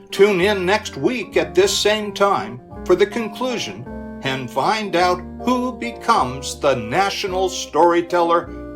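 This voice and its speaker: Radio drama announcer, scripted credits, slow delivery, animated intonation, music behind. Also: mid-range voice.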